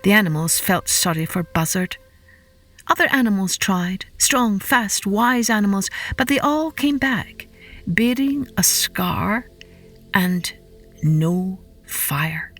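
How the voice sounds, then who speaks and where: A woman telling a scripted children's story as a podcast storyteller, dramatic and deliberate, repeating words for effect. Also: medium pitch, expressive, fairly bright tone, faint music behind.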